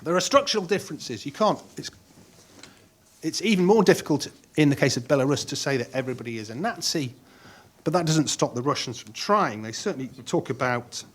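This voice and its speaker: A middle-aged man speaking on a conference panel in a measured way, pausing with frequent ums and restarting phrases. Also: fairly high pitch, animated, husky.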